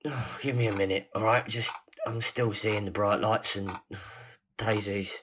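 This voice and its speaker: A man acts a dazed, crude fellow just coming round in an audio drama. He drags his words out very slowly and bluntly on a noisy, clicky recording. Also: on the low side, steady tone, natural voice. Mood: dazed, groggy. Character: groggy, foul-mouthed man just come round.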